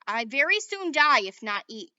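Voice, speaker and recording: A woman's voice: high-pitched, sing-song, normal speed, pushed and bright, smooth, heavily acted. A woman voicing a tiny, proud warrior man who speaks in terse, broken English. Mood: blunt. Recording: dull, dry sound.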